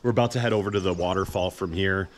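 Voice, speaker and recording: A man's voice: low voice, steady tone, fast. An American man in his thirties chatting casually as the host of an outdoor travel vlog. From United States. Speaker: vlog host, hiking guide. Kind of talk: casual morning vlog chat. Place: outdoors at a rural guesthouse. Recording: faint outdoor background noise.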